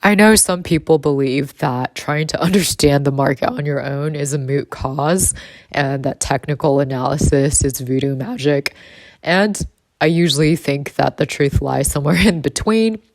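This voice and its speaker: A woman in her thirties speaks in a low, husky voice, relaxed and chatty as she vlogs. The sound is dry and close, with no room around her. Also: moderate pace, dry recording. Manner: relaxed and chatty, with light laughs. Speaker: vlogger and trader. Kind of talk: casual vlog narration.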